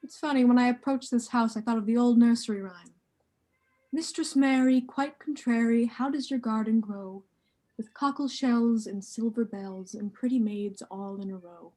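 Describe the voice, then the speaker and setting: A woman playing a courteous, confident male detective in an amateur radio play, speaking in a measured, formal way. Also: fairly high pitch, fairly even intonation, mellow, natural voice, rough recording. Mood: shrewd, confident.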